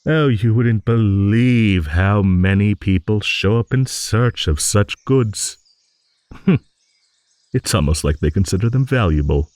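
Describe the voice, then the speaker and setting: A man playing a gentle, courteous old dragon who rambles hesitantly in an improvised fantasy audio roleplay. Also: lowish voice, dry recording. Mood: bemused, modest. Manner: rambling, hesitant, genteel, with musing hums and pauses.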